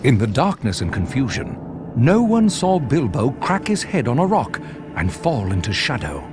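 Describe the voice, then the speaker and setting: A man narrating a fantasy video game like a storybook, in a measured, dramatic and ominous way. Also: medium pitch, huge pitch swings, over music. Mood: suspenseful.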